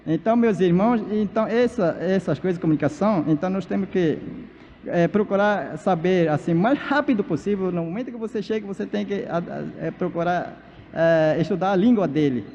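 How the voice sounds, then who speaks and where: High, gravelly man preaching in a hall. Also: a little mellow, slight background noise. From Amazonas, Brazil. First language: Baniwa. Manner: halting speech with many fillers and restarts. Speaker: pastor and missionary. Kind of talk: sermon at a church leaders' conference. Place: large church hall. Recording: dull, reverberant church PA recording.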